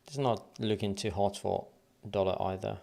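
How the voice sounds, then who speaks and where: A man's voice: lowish voice, fairly even intonation, moderate pace, gravelly, dark tone. A man from Finland with a light Finnish accent, thinking aloud and counting waves under his breath as he goes through charts on a casual trading livestream.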